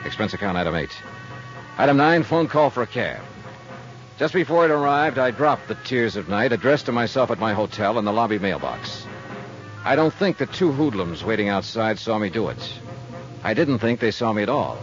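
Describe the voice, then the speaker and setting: A man's voice: fairly low pitch, lively intonation, normal speed, highs cut off, soft background music, lightly acted. A man acting a hard-boiled, unflappable private investigator in a 1950s radio detective drama, with a clipped, dry, matter-of-fact delivery. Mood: dry narration. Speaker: lead actor and narrator of radio drama.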